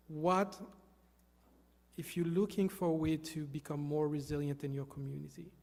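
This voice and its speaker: A man from Haiti, giving an earnest, halting stage talk with many fillers. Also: on the high side, a little mellow. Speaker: volunteer speaker. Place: hall with an audience. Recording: long reverb of a large hall.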